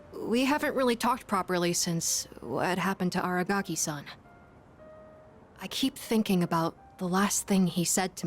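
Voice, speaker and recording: A woman playing an earnest, gentle young schoolboy in a video game, speaking politely and thoughtfully with some hesitation. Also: medium pitch, close to an everyday voice. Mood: hesitant, reflective. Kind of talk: English video game voice acting.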